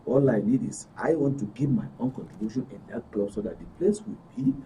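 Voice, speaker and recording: Man, Nigerian accent, talk show guest, lively swings in pitch, emphatic repetition, faint background noise. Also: brisk. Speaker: studio guest. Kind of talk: conversational personal account. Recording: dull distant mic, faint background noise.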